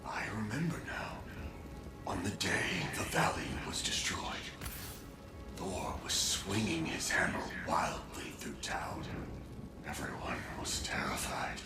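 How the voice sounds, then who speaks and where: Low, whispery, haunted spirit. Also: male voice, very animated, exaggerated voice. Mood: solemn, haunted. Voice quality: breathy, whispery, gravelly. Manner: slow, halting, solemn. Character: weary, haunted male spirit recalling ruin. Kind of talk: video game drama acting. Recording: loud music over the voice.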